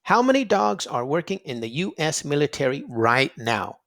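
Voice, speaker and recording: Animated, higher-pitched male children's narrator. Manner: dramatic, emphatic, with drawn-out words and pauses. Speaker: children's read-aloud narrator and quiz host. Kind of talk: expressive storybook read-aloud for children.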